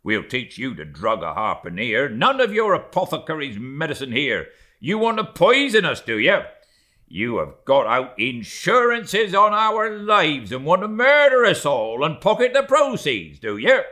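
A man voicing a bluff ship's mate, loud and sarcastic, bright voice, swoops high. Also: wildly swooping pitch, unhurried, full character voice. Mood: blustering. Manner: loud, blustering, sarcastic questioning. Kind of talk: audiobook character voicing.

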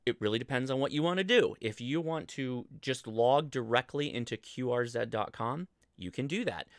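A man's voice: mid-range voice, fairly bright tone, no echo, studio quality. An American man in his forties hosting a casual livestream explainer, talking informally and thinking aloud. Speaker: host of a ham radio show. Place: indoor home studio.

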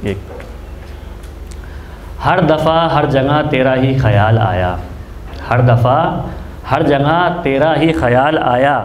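A man's voice: medium pitch, slow pace, long pauses. A man in his twenties reciting spoken-word poetry in a declamatory way, repeating lines for emphasis, into a stand mic at an open mic. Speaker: amateur poet, open mic performer. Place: small indoor open mic venue. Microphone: handheld-type mic on a stand, close. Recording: echoey room with background noise.

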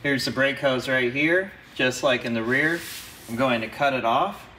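A man's voice: medium pitch, moderate pace, background noise. A man narrating a car repair step by step, practical and instructive, as the host of his own workshop videos.

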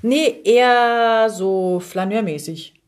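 A woman's voice: mid-range voice, slow pace. A middle-aged woman from Berlin chatting casually as a podcast guest, often interrupting her own sentences. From Berlin, Germany. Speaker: podcast guest, dubbing performer.

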